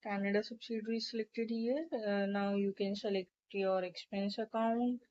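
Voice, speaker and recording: A woman narrating a step-by-step software tutorial in an instructional, explanatory way. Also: mid-range voice, fairly even intonation, moderate pace. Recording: dull, lo-fi microphone sound.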